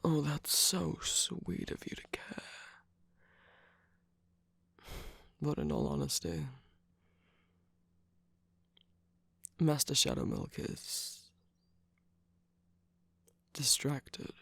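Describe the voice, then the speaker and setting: Guy doing a smug teasing bad guy sidekick for romantic asmr, slow drawl, gravelly n whispery, super close dry mic. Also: a little high, sing-song, sharp tone, no room sound, heavily acted. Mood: coaxing. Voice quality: breathy, gravelly. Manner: drawling, teasing, breathy delivery dropping into whispers. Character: teasing, jaded, smug male villain's minion cookie. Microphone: close microphone, dry sound.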